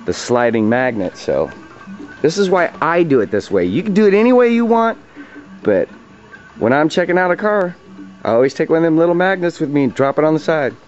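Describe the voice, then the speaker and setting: A man's voice: on the high side, big pitch swings, a little fast, a little mellow. A plain-spoken man giving an informal, slangy, hands-on tutorial on repair work. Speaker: DIY auto body repair demonstrator. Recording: dull lo-fi audio, radio music behind. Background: radio music playing in the background.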